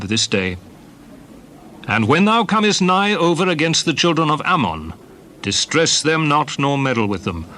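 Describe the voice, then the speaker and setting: Casting: solemn scriptural patriarch-leader, forceful bright tone, lively intonation, declamatory delivery for Bible audio drama. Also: male voice, on the high side. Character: solemn Old Testament leader recounting wars and journeys. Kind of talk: dramatized Bible reading, archaic English.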